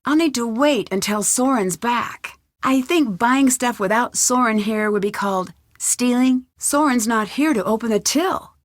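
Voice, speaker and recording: A woman's voice: fairly high pitch, measured pace, few pauses, dry recording, clean studio sound, lightly acted. A young woman voicing a curious, plucky amateur detective in an adventure video game, musing to herself inquisitively. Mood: thoughtful, practical. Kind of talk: scripted adventure game voice acting, talking to herself.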